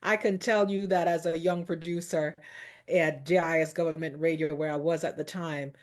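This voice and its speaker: A middle-aged woman hosts a talk show with lots of exclamations. Her voice sits slightly low for a woman, and she lingers on words for emphasis. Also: fairly even intonation, moderate pace. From Jamaica. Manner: effusive, exclamatory. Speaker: host.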